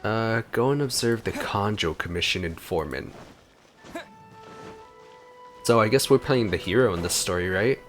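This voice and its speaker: A man commentates casually over a video game as a let's play host. His voice sits fairly low, and soft music plays faintly behind him. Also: animated, a little fast.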